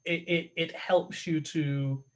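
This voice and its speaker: A man, an opera tenor, talking as a guest on a video call, halting and thoughtful with many restarts. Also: fairly high pitch, fairly even intonation. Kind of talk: conversational interview over a video call.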